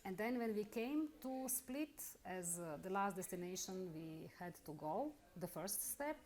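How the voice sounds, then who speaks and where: A middle-aged woman with a Bosnian accent, carefully telling her own story in halting English during an interview. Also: medium pitch, lively intonation, a little mellow. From Bosnia and Herzegovina. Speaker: interviewee, lawyer. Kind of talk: personal account in an interview.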